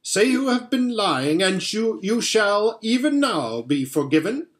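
A man's voice: high voice, very animated, unhurried, pitched above the performer's own voice. A man voicing a furious, cruel witch queen in a storybook, with a haughty, commanding delivery. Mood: outraged.

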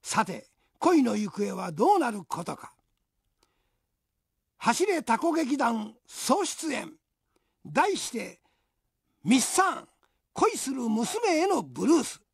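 A woman's voice: a little high, big pitch swings, takes it slowly, gravelly, long pauses, breathy edge, no echo, studio quality. A woman hosting a radio show, reading a scripted introduction and narration for a radio drama.

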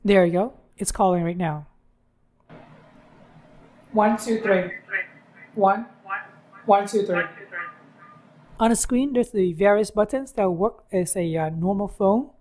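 A woman's voice: mid-range voice, slow, long pauses, darkish tone. A woman hosting a how-to tutorial, narrating instructions step by step. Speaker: tutorial host.

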